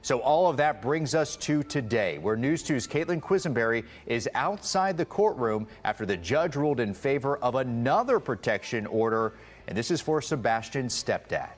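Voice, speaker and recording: A man's voice: medium pitch, lively intonation, normal speed. A man anchoring a television news broadcast, reading a scripted story.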